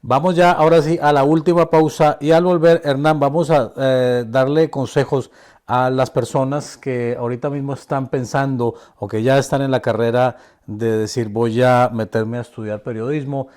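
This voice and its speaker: Mellow-voiced male interview host. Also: mid-range voice, normal speed. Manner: friendly, affirming interjections, relaxed. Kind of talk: conversational interview.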